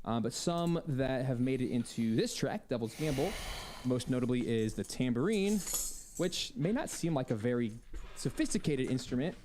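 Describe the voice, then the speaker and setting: A man's voice: mid-range voice, expressive, moderate pace, mellow, slight background noise. A man speaking as an audio drama composer, explaining his working process in a podcast interview. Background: music playing behind the voice.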